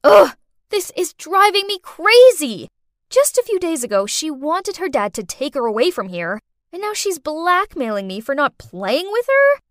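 A woman's voice: high voice, very animated, normal speed, no echo, clean studio sound, pitched above the performer's own voice. A woman playing an exasperated young heroine in a story, indignant and melodramatic.

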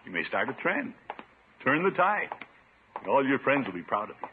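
An actor playing a pompous, blustering middle-aged uncle who chuckles and preaches in a 1950s radio sitcom. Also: male voice, medium pitch, very animated, moderate pace, noisy background, natural voice. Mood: self-satisfied. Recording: muffled 1950s radio broadcast.

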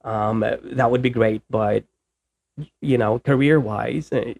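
Man, host, gravelly low texture, rambling casual chat, dry close sound. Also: slow pace, a little mellow, dry recording. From Philippines. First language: Bisaya. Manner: rambling, many fillers and restarts. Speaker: podcast host.

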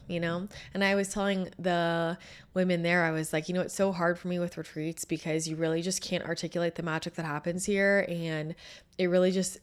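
A woman in her thirties hosting her own show, hoarse and a little scratchy after losing her voice, talking in an upbeat, chatty way. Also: lowish voice. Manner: chatty and upbeat, with laughs and fillers. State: hoarse, recovering from lost voice. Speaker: podcast host. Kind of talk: casual solo chat.

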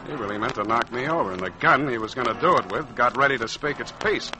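A man's voice: mid-range voice, lively intonation, fast talker, lightly acted, poor audio. A man acts a hard-boiled private eye in forties radio style. His mid-range voice moves with a lot of inflection through wry, slangy lines, with music behind him. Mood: wry narration. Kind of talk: 1940s radio acting, noir narration.

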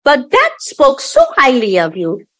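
A woman in an audio play acting an earnest, effusive younger daughter who smooths things over but bristles easily. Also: high-pitched, wildly swooping pitch, a little slow, fairly bright tone, full character voice. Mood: placating.

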